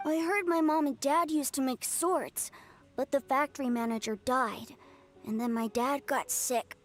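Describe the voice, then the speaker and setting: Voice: high voice, steady tone, unhurried. A performer voicing a sweet, sickly little orphan boy who coughs in a game. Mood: innocent.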